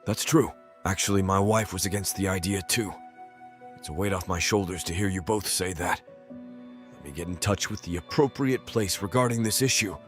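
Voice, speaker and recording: A callous father who shrugs off his own son, played by a man talks in a lowish voice. His words come out blunt and dismissive. This is anime dub acting. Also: moderate pace, soft background music, natural voice. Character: cold, self-serving father who abandons his son.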